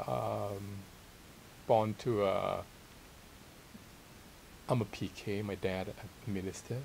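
A middle-aged man from Thailand speaks as an interview guest, his voice on the low side with a gravelly creak. He is modest and hesitant, feeling his way through his answers. A steady hiss sits behind him. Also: slow. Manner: hesitant, searching for words, modest. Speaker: interviewed guest, theology teacher and author. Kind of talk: casual sit-down interview. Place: small library room with armchairs. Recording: steady hiss and room noise.

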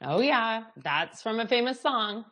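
A woman's voice: fairly high pitch, animated, unhurried, pushed and bright. A woman hosting a TV show, bubbly and enthusiastic as she interviews a guest in the studio. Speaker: TV show host. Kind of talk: TV host interview.

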